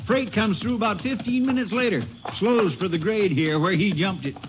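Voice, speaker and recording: An actor playing a plain-spoken, helpful American small-town sheriff in an old-time radio drama, with a folksy, terse way of talking. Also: male voice, sits high, normal speed, close to an everyday voice. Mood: conversational. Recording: muffled, noisy vintage radio recording.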